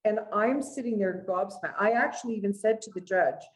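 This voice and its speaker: A woman's voice: medium pitch, brisk. A middle-aged woman with a Canadian accent, speaking as a guest family lawyer in an earnest, conversational storytelling way.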